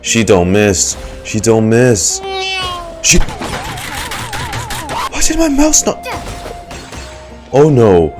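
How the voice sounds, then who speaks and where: A man's voice: medium pitch, wildly swooping pitch, very slow, pauses often. A young man doing casual, slangy game reaction commentary, joking and swearing as he plays. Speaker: gaming streamer. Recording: game music behind the voice. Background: video game music.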